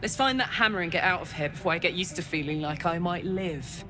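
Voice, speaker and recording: A woman's voice: lowish voice, fairly quick, over music, close to an everyday voice. A woman playing a tough, foul-mouthed young fighter in a video game, speaking bluntly and informally. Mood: relieved.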